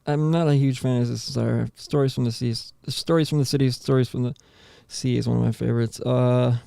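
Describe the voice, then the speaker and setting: Gravelly, slangy man chatting about music. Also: medium pitch, steady tone, fairly quick, warm tone, no echo, clean studio sound. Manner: slangy, says "dude" often. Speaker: podcast host. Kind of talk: casual banter about music with co-hosts.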